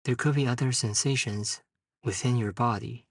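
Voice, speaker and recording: A man leading a guided meditation, speaking in short, unhurried phrases with long pauses. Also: mid-range voice, fairly even intonation, husky, studio quality. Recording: distant mic in a roomy, echoing space.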